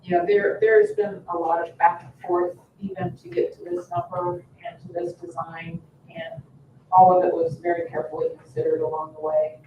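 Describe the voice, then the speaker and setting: A board chair talks through matters in a measured, explanatory way at a public meeting. The voice sits far from the microphone in an echoey room, slightly dull with faint noise. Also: medium pitch. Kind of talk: formal public meeting discussion. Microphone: distant room microphone. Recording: roomy, distant, muffled mic, faint noise.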